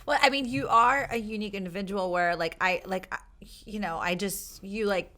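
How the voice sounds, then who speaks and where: A woman hosting a casual interview, chatting warmly with her guest and laughing often. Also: mid-range voice. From United States. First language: Armenian. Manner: chatty and laughing, quick filler-heavy talk. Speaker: talk show host.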